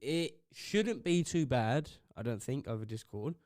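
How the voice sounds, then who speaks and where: A young man co-hosting a casual podcast, teasing his brother with quick interjections. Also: medium pitch, expressive, darkish tone, no echo, clean studio sound. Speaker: podcast co-host. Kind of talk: casual chat. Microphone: studio podcast mic.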